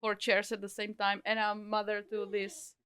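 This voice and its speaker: A woman's voice: medium pitch, completely flat delivery, moderate pace, fairly bright tone, clean tone. A woman in her thirties with a Czech accent, chatting and laughing as a guest in a bakery interview. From Czech Republic. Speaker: guest, back office of bakery. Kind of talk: casual interview chat.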